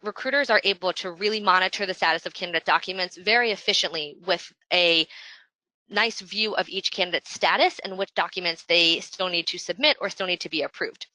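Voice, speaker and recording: A woman presenting a software product webinar in an explanatory, businesslike way. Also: on the low side, fairly quick, dry recording, poor audio.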